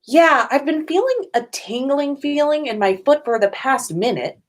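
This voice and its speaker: A woman's voice: high-pitched, big pitch swings, normal speed, voice pushed up. A woman voicing an exasperated, sarcastic teenage wizard boy in a fan fiction story reading. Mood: indignant. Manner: indignant, loud, sarcastic.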